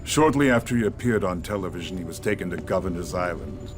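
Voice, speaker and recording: Calm formal spy adviser in a game, lowish voice, clipped and measured, music behind. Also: male voice. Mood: grave sympathy. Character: composed, scheming male resistance intelligence adviser. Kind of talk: video game cutscene acting.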